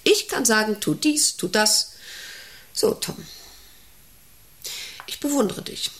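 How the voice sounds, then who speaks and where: An actress in a radio play as a domineering, eccentric factory director who rambles, commands and asks intrusive questions. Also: female voice, fairly high pitch, expressive, slow, a bit bright, slight background noise. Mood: expansive, restless. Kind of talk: German radio play acting.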